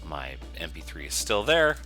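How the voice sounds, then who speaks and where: Deep, very bright-voiced man giving a tutorial. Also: sing-song, normal speed, faint music behind. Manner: conversational, explaining as he works. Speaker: software developer giving a coding tutorial. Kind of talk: informal step-by-step screencast tutorial.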